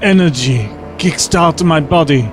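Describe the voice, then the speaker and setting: A man's voice: fairly high pitch, a little slow, soft background music, lightly acted. A man playing a weakened, fatalistic non-human man who labours to speak in an audio drama. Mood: weak, straining.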